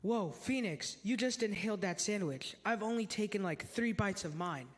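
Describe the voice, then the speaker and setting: In a reverberant church hall, a young man plays a talkative teenage boy with a high voice. He is excitable, jokey and fond of puns, reading his part in a reader's theater. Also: normal speed. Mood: chatty. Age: teenager or young adult. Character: chatty, pun-loving teenage boy, excitable and joking.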